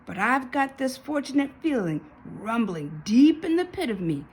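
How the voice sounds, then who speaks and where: Storybook cowgirl, played by a woman reader: young, fiery, defiant, folksy, wide swings in pitch, a little husky. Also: very animated, moderate pace, darkish tone, exaggerated voice. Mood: bold resolve. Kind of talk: storybook read-aloud character voice.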